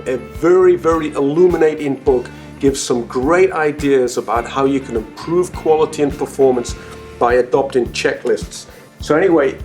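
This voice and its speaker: A man, a company president, talking casually and enthusiastically to his colleagues in a video message. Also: on the high side. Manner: chatty, enthusiastic, frequent "uh" fillers.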